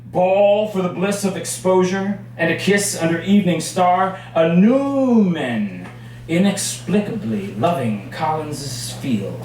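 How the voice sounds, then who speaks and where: A man performing his own poetry at a live reading, with measured, dramatic phrasing and deliberate pauses. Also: sits high. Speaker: poet reading own work. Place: indoors at a cafe poetry reading. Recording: very echoey room, distant mic, faint noise.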